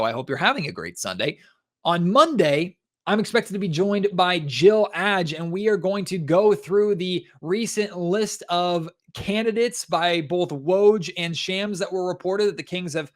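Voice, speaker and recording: A man's voice: high voice, fairly bright tone. A man hosting a sports podcast solo, giving commentary in a conversational way and speaking directly to his listeners.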